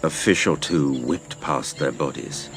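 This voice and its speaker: A man's voice: a bass voice, a little slow, fairly bright tone, faint music behind, exaggerated voice. A man playing a grown adventurer recounting his boyhood exploits, in theatrical, literary scripted narration. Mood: gripping, reflective. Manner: theatrical storytelling.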